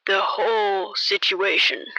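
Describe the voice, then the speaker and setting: A woman's voice: medium pitch, slow pace, sharp tone, dry recording, rough recording. A woman voicing a shady, paranoid washed-up male detective in an amateur radio play, talking like a conspiratorial old-time gumshoe. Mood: smooth, insistent.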